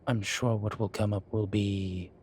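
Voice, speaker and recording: Slow, low-voiced suave demon professor played by a man. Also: flat delivery, darkish tone, a little hiss or hum, close to an everyday voice. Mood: measured, serious. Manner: formal, measured, teasing, wry. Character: suave male incubus demon professor, wry, vain, unhurried. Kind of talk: scripted audio roleplay.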